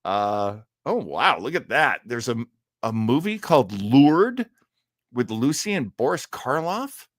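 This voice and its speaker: A man's voice: mid-range voice, very animated, unhurried, no room sound, clean studio sound. A middle-aged man hosting a live stream, chatting casually and reading viewer comments in a rambling, enthusiastic way with frequent hesitations. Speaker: live-stream host of an old-time radio show.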